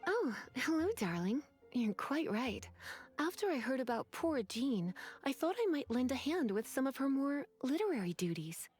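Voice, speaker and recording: A flirtatious, languid lady in an anime game. The voice is breathy and fairly high, gliding widely with sultry gentility. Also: very animated, moderate pace, a little mellow, soft background music, full character voice. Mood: languid, coy. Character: languid, flirtatious adult woman, sultry and unhurried. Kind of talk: English anime game voice acting.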